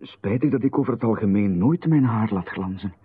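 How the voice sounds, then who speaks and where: A man with a Flemish accent playing a polite, uneasy host in a radio play, with a husky voice and an overly courteous, hesitant manner. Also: on the low side, expressive, fairly quick. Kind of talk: 1990s radio drama. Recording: phone-like radio recording.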